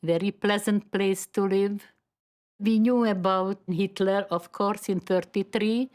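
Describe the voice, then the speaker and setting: An elderly woman in her nineties from Slovakia, with a Slovak accent, giving personal testimony in measured, halting phrases. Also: medium pitch, steady tone, a little mellow. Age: 90s. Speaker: Holocaust survivor and memoirist. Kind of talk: personal testimony, partly read.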